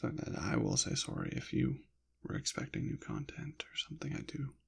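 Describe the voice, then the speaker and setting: A man rambling softly in an ASMR style, slipping in and out of a whisper, with a hesitant, halting, apologetic manner. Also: medium pitch, animated, creaky, husky. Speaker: ASMR channel creator. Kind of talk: soft-spoken ASMR ramble, partly whispered.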